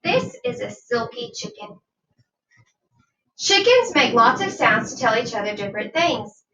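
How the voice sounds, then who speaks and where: A woman reading a nonfiction children's book aloud like a teacher, deliberate and instructive, with questions to her young listeners. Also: a little high, big pitch swings, sharp tone. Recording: dull, roomy sound, distant from mic.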